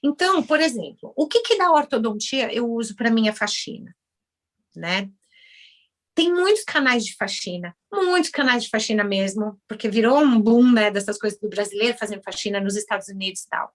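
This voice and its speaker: Lively Brazilian woman telling her story. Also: on the high side, normal speed. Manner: animated, acts out dialogue with others in shifted voices. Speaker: guest, Brazilian orthodontist now working as a house cleaner. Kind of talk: casual interview storytelling.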